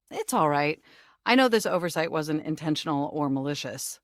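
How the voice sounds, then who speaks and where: Swoopy-voiced, prim, lovestruck computer. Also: female voice, lowish voice, no room sound, clean studio sound. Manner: formal, wordy, precise, nerdy diction. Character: earnest, lovestruck, anxious talking desktop computer. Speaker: video game character voice actor. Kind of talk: scripted dating game dialogue.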